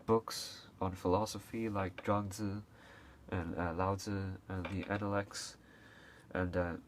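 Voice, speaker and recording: A young man with a deep, low voice speaks to camera in a loose, unscripted way. He stops and restarts often, dropping "uh" between phrases. A faint hiss sits under his voice. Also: flat delivery, measured pace. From Hong Kong. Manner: hesitant, many fillers and restarts, occasional coughs. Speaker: video creator. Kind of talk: casual unscripted talk to camera.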